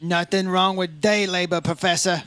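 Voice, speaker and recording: A man's voice: high voice, fairly even intonation, moderate pace, a bit bright, clean tone. A man playing a straight-talking, inquisitive insurance investigator in an old-time radio detective drama, in plain, clipped phrases. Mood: probing, casual.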